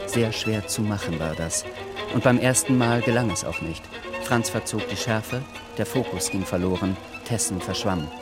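Low-voiced male narrator over music. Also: moderate pace. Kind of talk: scripted literary radio drama narration.

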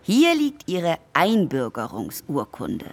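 A woman's voice: lowish voice, wildly swooping pitch, slow pace, full character voice. A woman playing a formal, procedural civil servant conducting an official oath in a radio play. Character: formal female civil servant at naturalisation ceremony.

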